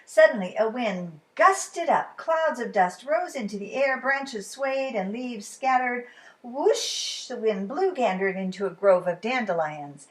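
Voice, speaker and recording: A woman reading a picture book aloud to children in a dramatic, expressive way, at times dropping to a hush. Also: fairly high pitch, a little slow, slight background noise, rough recording. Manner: dramatic, dropping to hushed whispers. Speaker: children's storytime reader.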